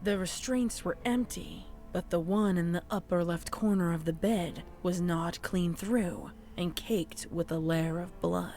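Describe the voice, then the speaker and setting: A woman's voice: lowish voice, unhurried, hardly any pauses, a little mellow, faint music behind. A woman narrating horror fiction in a scripted, dramatic storytelling style.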